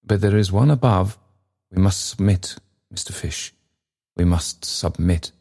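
A man's voice: sits low, measured pace, gravelly, darkish tone, slightly rough, full character voice. A man voicing a pompous, sanctimonious alderman who speaks in grand, exclamatory oratory. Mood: mournful, pious. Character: pompous, pious, sanctimonious alderman, self-important city official.

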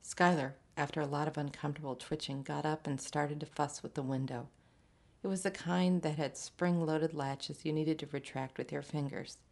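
Low-voiced woman reading a short story. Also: steady tone, moderate pace, vocal fry, darkish tone. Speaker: story reader. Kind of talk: literary short story narration.

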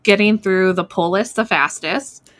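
Medium-pitched woman hosting a casual chat. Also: unhurried, a bit bright. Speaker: librarian and podcast host. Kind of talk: casual chat, leading the discussion.

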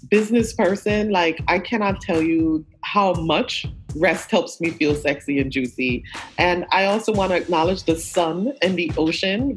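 Lower-pitched woman in thoughtful conversation. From Guyana, then Brooklyn, New York from age fourteen. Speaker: guest, herbalist. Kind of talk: conversational interview.

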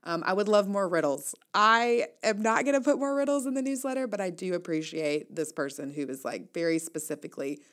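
Woman, slightly low pitch, audio newsletter host, conversational, wry, playful, casual read-aloud commentary. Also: lively intonation, a little fast, hardly any pauses, a bit bright.

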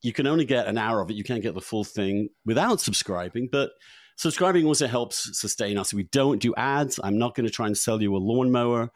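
A middle-aged man hosting a podcast in a chatty, unscripted way, halting and repeating words as he goes. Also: mid-range voice, dry recording, studio quality. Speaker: podcast host.

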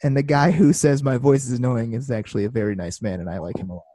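A man co-hosting a podcast, joking and getting excited about basketball, swearing freely. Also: medium pitch, fast talker, no echo, clean studio sound. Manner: jokey, excitable, profane. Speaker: podcast co-host. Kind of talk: casual sports chat.

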